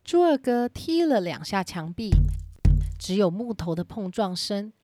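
A woman's voice: medium pitch, sing-song, unhurried, mellow, faint music behind. A woman narrating a scripted children's story.

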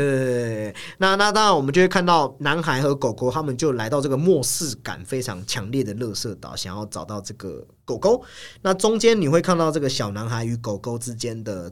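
A man hosting a film discussion, explaining and analyzing in an explanatory way, often repeating words and phrases. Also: medium pitch. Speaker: film podcast host.

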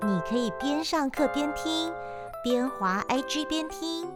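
A woman's voice: high-pitched, animated, a little slow, music in the background. A woman presenter giving a playful scripted introduction to an audio drama in a cute, girlish anime style. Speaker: show presenter.

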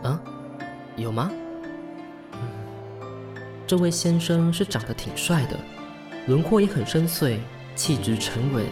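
A man's voice: fairly high pitch, measured pace, long pauses. An actor playing a polite, flustered, sentimental young man in a Taiwanese Mandarin radio drama, with sighs and hesitant interjections. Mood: musing, polite. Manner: expressive, sighing, hesitant interjections. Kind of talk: Mandarin radio drama acting with inner monologue. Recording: studio voice over background music. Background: background music.

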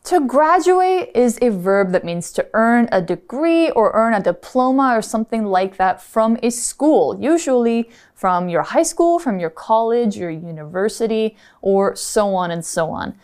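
A woman's voice: on the high side, animated, measured pace, hardly any pauses, darkish tone, clean tone. A woman co-hosting an English-learning podcast, patiently explaining vocabulary in a casual chat. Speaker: podcast co-host teaching English.

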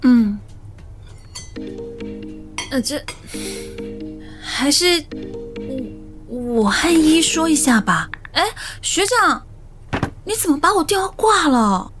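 Casting: soft-hearted young woman, evasive and pleading, very high voice, lively melody, modern radio romance. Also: slow, long pauses, over music, exaggerated voice. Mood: hesitant, sulky. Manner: hesitant, coaxing.

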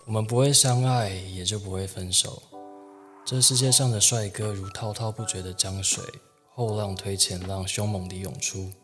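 A man plays a talkative, dreamy teenage schoolboy in a radio drama. His voice sits lowish, with a Taiwanese accent and expressive emphasis, over faint music. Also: normal speed, close to an everyday voice. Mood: reflective. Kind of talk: Mandarin radio play acting and first-person narration.

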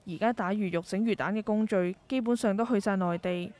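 Woman playing a concerned Cantonese-speaking market mother, mid-pitched. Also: brisk, darkish tone, natural voice. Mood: nostalgic. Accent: Hong Kong Cantonese. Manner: concerned, reflective. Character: caring, world-weary middle-aged mother running fish stall. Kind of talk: scripted radio drama dialogue.

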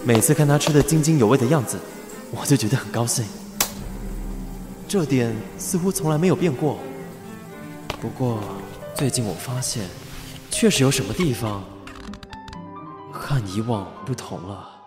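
A male actor plays an affectionate young pastry assistant in a radio play. He is an easygoing man with a mid-range voice and a Taiwanese accent, heard over clear background music. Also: medium pitch, lively intonation, moderate pace, pauses often, natural voice. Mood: earnest, reflective. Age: young adult. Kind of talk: audio drama acting with narration.

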